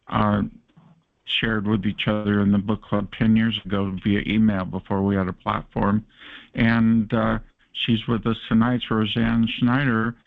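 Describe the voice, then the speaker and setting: A man with a Californian accent hosting and moderating a call-in show, relaxed and full of fillers. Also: on the low side, gravelly, no echo. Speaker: host and moderator. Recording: phone-like conference call line.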